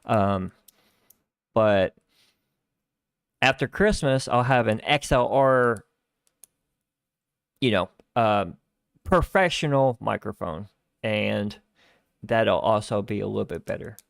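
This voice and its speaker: Man, live stream host, rambling casual talk, dry close sound, bass-light starter mic. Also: slow pace, vocal fry, long pauses, clean tone, no room sound. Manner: rambling, with many fillers and restarts. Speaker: livestream host. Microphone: starter desk microphone lacking bass.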